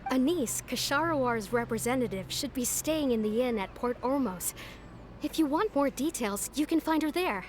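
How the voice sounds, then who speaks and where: A woman's voice: sits high, lively intonation, normal speed, faint music behind. A woman voicing a polite, earnest academy go-between in a video game, explaining things courteously.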